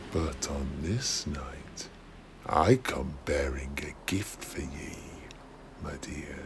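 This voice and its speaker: A man voicing a menacing, courtly undead pirate captain with a gravelly voice and theatrical, archaic, drawn-out speech. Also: extremely low pitch, a bit bright, slight background noise, voice pushed down, full character voice.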